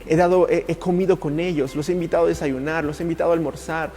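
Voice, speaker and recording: A man from Italy speaking as a ministry coach in a podcast interview, earnest and passionate, with halting, repetitive phrasing. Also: fairly high pitch, steady tone, darkish tone.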